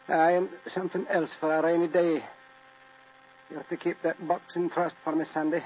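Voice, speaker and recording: An actor playing a dying, stubborn Scottish baronet and wounded soldier in an old radio drama. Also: male voice, fairly high pitch, close to an everyday voice. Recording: muffled old radio recording with hum and hiss.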